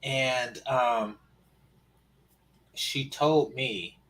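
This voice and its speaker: A man's voice: mid-range voice, extremely slow, a bit bright, rough recording. A man from Oakland chatting casually as a podcast co-host, telling stories in a wry, matter-of-fact way. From Oakland, California. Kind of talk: casual chat.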